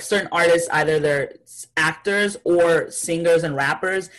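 A woman's voice: low-pitched, poor audio. A young woman from Haiti, a guest artist talking casually and thoughtfully in an interview, with many fillers.

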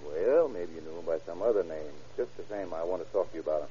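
Man acting a very dark-voiced hard-boiled investigator. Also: sits low, fast talker, a little hiss or hum, natural voice. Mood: pressing, firm. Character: tough, slangy, persistent male private investigator. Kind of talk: 1940s radio crime drama acting. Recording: dull old radio drama recording.

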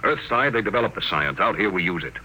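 Forceful, brusque male space tycoon. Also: lowish voice, big pitch swings, fast talker, exaggerated voice, low-quality recording. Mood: forceful, confident. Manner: clipped, commanding. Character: brusque, dynamic self-made space tycoon. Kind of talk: old-time radio drama acting. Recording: vintage radio broadcast, faint noise.

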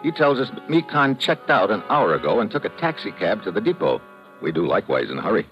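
A man's voice: on the low side, sing-song, fast talker, soft background music. A man playing a wry, hard-boiled American investigator in a 1950s radio drama, talking in clipped, laconic slang. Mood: dry, matter-of-fact. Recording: dull, noisy old radio broadcast.

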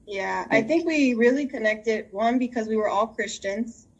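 A woman's voice: a little high, moderate pace, breathy edge, lo-fi sound. A young woman from the United States, a graduate student, chatting as a podcast guest, joking and laughing as she talks. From Denver, Colorado, United States. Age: young adult. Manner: joking, laughs while talking. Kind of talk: casual conversation.

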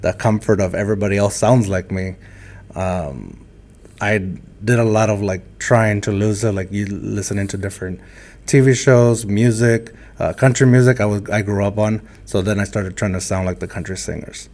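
Host, man, low-pitched, slight Mexican-Texan accent, halting, reflective delivery. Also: a little mellow. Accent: Mexican-influenced Texan. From Texas border town. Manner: hesitant, many fillers and restarts, reflective. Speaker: podcast host. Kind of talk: casual interview talk.

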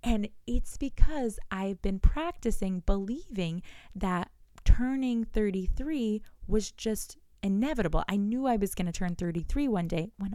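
Business coach, woman in her thirties, animated direct-to-listener delivery; smooth lower voice, dry booth sound. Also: no room sound. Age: 30s. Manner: animated, talks to listener directly with rhetorical questions. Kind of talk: solo podcast monologue.

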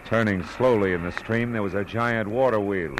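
An actor playing a wry, dogged private detective in a 1940s radio drama, with clipped, world-weary noir delivery. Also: male voice, on the low side, normal speed, a little hiss or hum, close to an everyday voice, poor audio. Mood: brooding, tense. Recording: vintage radio recording with loud music.

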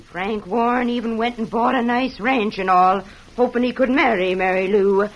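An actress playing a domineering, scolding middle-aged farm wife in an old radio western. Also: female voice, a little high, moderate pace, natural voice, poor audio. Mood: bossy, indignant. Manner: sharp-tongued, domineering, indignant. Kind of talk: 1940s-50s radio western acting.

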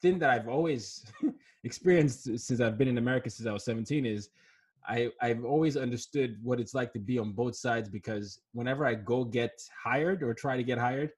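Husky, earnest man talking reflectively. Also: medium pitch, normal speed. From Nigeria. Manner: reflective, earnest, repeats words when starting thoughts. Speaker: author and guest. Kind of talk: conversational interview.

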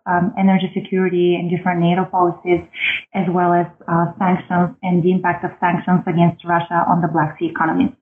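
A woman in her thirties from Bulgaria, answering interview questions about her background in a measured way with frequent ums. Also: lowish voice. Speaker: guest author. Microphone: phone line. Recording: tinny phone line.

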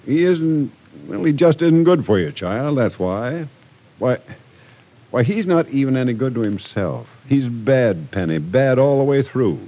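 A man's voice: medium pitch, lively intonation, unhurried, mellow. An actor playing a stern, protective older man in a 1940s radio drama, earnest and moralizing, in an old recording. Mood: stern, worried.

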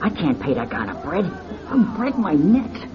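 Brash, excitable club hustler. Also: male voice, on the high side, moderate pace, music in the background. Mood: anxious, pleading. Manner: slangy, excitable hustler talk. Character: brash, wheedling male owner of seedy go-go club. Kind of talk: classic radio drama acting. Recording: muffled old radio drama recording.

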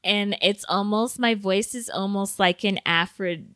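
A woman's voice: mid-range voice, moderate pace, fairly bright tone, dry recording, clean studio sound. A woman co-hosting a podcast with her sisters, joking and boasting in casual banter. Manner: playful, boastful, teasing. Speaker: podcast co-host. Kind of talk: casual chat.

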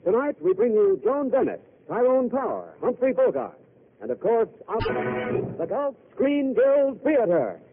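A man announcing an old-time radio show in a booming, showy manner. His voice sits high and swoops widely. The sound is narrow and tinny, like an old broadcast. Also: huge pitch swings, unhurried, gravelly, noisy background.

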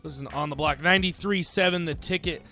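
A man in his twenties hosting a sports radio show, upbeat and chatty, with big swings in loudness. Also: fairly high pitch, quick pace, soft background music. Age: 20s.

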